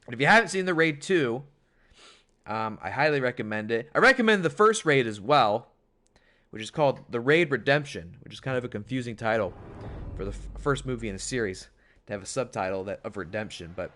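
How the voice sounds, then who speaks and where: A hoarse man commentating a wrestling video game on a stream, with animated, chatty hype. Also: mid-range voice, fairly quick. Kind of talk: play-by-play commentary.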